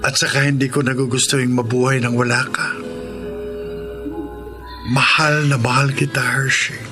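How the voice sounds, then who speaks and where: Casting: actor playing earnest, affectionate Filipino boyfriend in a radio romance, mid-range male voice, clear music bed. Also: medium pitch, flat delivery, moderate pace, close to an everyday voice. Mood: tender, earnest. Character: affectionate, earnest man in love, gently teasing. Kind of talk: Filipino radio drama acting. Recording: radio drama with prominent music underneath.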